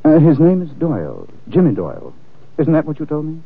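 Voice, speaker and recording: A suave gentleman sleuth in a vintage radio drama. His husky voice swings freely in pitch as he delivers wry understatement, all through a tinny, narrow old broadcast sound. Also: huge pitch swings, no echo, exaggerated voice. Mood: dryly amused. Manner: dry, wry, unhurried understatement. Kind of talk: 1940s-50s radio drama acting. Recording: tinny, muffled old-time radio recording.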